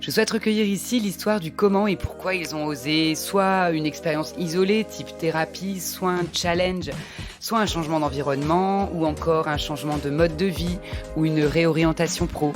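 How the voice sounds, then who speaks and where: A woman's voice: low voice, normal speed, music in the background. A French-speaking woman hosting a podcast, reading a scripted intro and then chatting eagerly with her guest. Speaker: podcast host.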